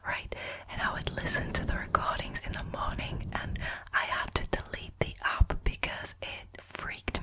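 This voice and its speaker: Whispering woman, slow and breathy ASMR. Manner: informal, chatty, amused. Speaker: host reading and reacting to short stories. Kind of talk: whispered ASMR storytelling with casual chat.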